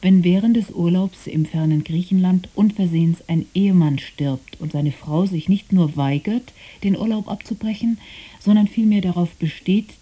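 A woman's voice: lowish voice, dark tone, slightly rough. A woman reading scripted programme announcements on radio in a composed, formal manner.

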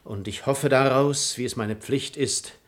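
A man's voice: mid-range voice, unhurried, close to an everyday voice. A man playing a proud, cynical nobleman and hypocrite in a German radio play, eloquent and declamatory. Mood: feigned piety.

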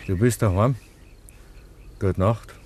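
A man's voice: fairly low pitch, slow, a little mellow. An actor playing a terse, reluctant village man in a 1950s Bavarian dialect radio play, answering in a curt, guarded way. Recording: 1950s radio recording, faint noise.

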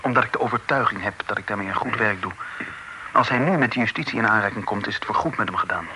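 An actor playing an earnest, formal young gentleman in a Dutch radio drama. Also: on the low side, fast, close to an everyday voice. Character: earnest young gentleman, son of the chief sheriff. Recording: noisy old radio play recording.

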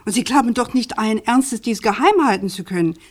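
A woman's voice: on the high side, expressive, brisk. A woman playing a persistent, indignant journalist in a radio play, speaking articulately and with accusatory insistence. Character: persistent, indignant female journalist confronting a prosecutor.

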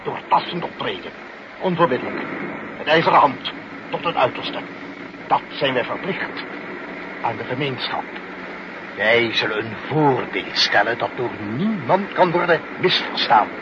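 A man's voice: mid-range voice, lively intonation, a little slow, natural voice. A man acting a pompous, flustered senior official in a Dutch radio play, blustering and then dithering. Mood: pompous resolve. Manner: blustering, hesitant, rhetorical. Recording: muffled, noisy old radio play.

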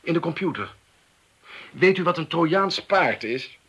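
An actor playing a flippant, self-centred, well-off man in a radio play, breezy and callous, with a husky voice. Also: fairly high pitch, expressive, a little slow, a bit bright, full character voice. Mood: glib, distracted. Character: flippant, callous, well-off male computer expert, divorced father. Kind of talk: Dutch radio play acting.

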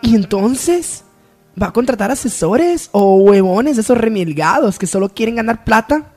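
A woman playing an expressive, meddling young woman in a parody radio soap. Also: fairly high pitch, moderate pace, soft background music. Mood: animated.

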